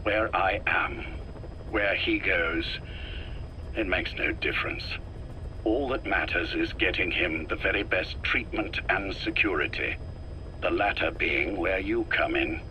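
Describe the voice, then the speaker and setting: Casting: older, sickly spy chief for a game. Coolly polite yet threatening, deliberate, bright forceful tone, faintly noisy recording. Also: male voice, low voice. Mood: grave, resolute. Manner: measured, deliberate, politely menacing. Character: ailing, calculating older male intelligence chief, calm and controlling. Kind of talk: scripted game dialogue, dramatic acting.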